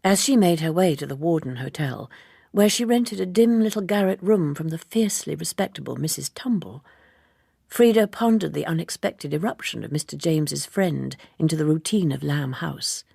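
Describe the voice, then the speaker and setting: A woman narrates a literary audiobook. Her voice sits on the low side, with a husky grain and some creak. She reads in a measured, precise, formal manner. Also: lively intonation, warm tone, no echo, clean studio sound.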